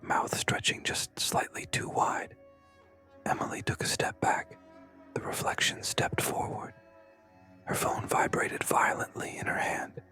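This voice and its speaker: A narrator telling a scary story in a breathy, hushed whisper, with dramatic short suspenseful phrases. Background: music.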